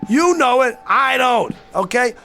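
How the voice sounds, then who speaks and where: Gravelly, brash barfly with animated delivery. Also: male voice, extremely high pitch, measured pace, full character voice. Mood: agitated. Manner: pushy, sarcastic, streetwise. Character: brash, argumentative hard-drinking man, agitated and wisecracking. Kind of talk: dramatized radio-play dialogue. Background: faint music.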